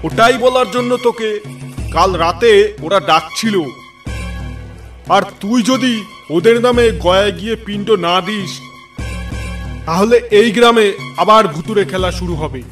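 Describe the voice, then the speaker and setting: A man voicing a wise holy man in a cartoon who speaks calmly and with authority. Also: high-pitched, a little slow, long pauses. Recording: distant voice with music behind.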